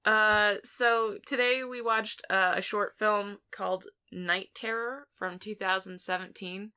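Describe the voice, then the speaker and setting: A woman's voice: medium pitch, fairly even intonation, unhurried, sharp tone, clear, resonant tone. A woman co-hosting a film review podcast, talking casually and informally with some mild swearing. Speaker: podcast co-host reviewing films.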